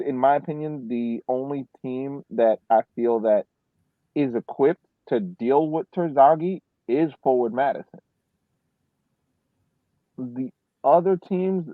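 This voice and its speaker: A man giving casual sports analysis on a podcast, building his points deliberately over a thin call connection. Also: medium pitch, no echo. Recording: dry, thin phone-like line.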